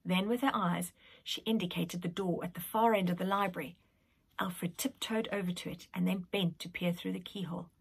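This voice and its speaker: A woman reading a children's story aloud in an expressive, dramatic manner. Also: on the low side, brisk, vocal fry, breathy edge. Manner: animated, dramatic.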